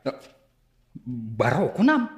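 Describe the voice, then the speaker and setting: Excitable high-pitched Ilocano man. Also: slow, exaggerated voice. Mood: surprised, nosy. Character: nosy, excitable man reacting to gossip. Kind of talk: Ilocano radio comedy-drama acting. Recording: roomy studio echo.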